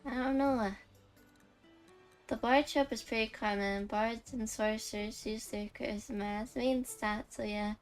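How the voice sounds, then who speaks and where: A woman's voice: a little high, steady tone, measured pace. A woman streamer giving casual live game commentary in a musing, jokey way. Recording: streamed voice over faint game music.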